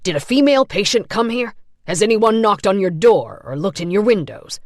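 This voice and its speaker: A woman voicing a harsh, scornful male asylum worker who questions loudly with a sneer. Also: high voice, lively intonation, takes it slowly, dry recording, clean studio sound. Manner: loud, sneering, interrogating.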